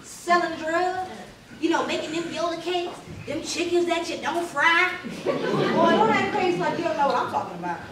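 A woman's voice: extremely high pitch, expressive, moderate pace. A woman playing a sassy, folksy older mother who teases and exclaims, acting in a stage play. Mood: sassy, teasing. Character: sassy older churchgoing mother and grandmother, teasing her grown son. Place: on stage in a theatre, far camera. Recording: noisy echoey theatre stage, far from microphone.

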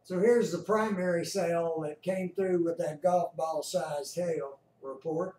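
A man giving casual, unhurried live commentary as an amateur host explaining a weather radar. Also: on the high side, fairly even intonation, warm tone. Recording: roomy, distant mic, faint noise.

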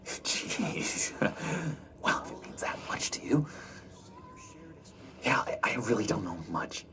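Unhinged needy criminal, wildly swooping voice. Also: male voice, medium pitch, big pitch swings, pushed and bright, whispered, exaggerated voice. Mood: chummy, evasive. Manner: halting, stammering, swinging from pleading to menacing. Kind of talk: video game voice acting.

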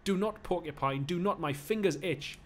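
Man acting out a brutish strong soldier, Geordie accent, belligerent barking, raised pitch, stage play reading, background noise. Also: moderate pace, close to an everyday voice. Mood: threatening. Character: Ajax, big strong dim-witted brutish warrior, bullying and angry.

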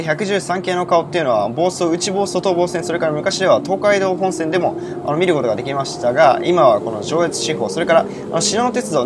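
A man in his early twenties narrating a railway vlog with detailed, informative explanations. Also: a little high. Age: early 20s. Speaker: railway vlogger. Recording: loud train and station noise.

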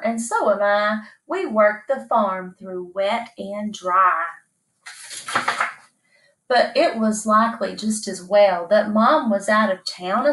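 A woman's voice: medium pitch, a bit bright. A middle-aged woman reading a rhyming children's picture book aloud in a rhythmic, dramatized way. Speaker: storybook reader. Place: small indoor room. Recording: echoey room, distant microphone.

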